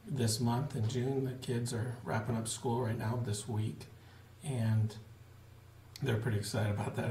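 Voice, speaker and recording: A man in his forties giving a relaxed, plain-spoken video update to supporters from a small home office, with frequent fillers. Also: medium pitch, creaky, slight background noise. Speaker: missionary giving a family update. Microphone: webcam at desk. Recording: roomy webcam audio with faint ambience.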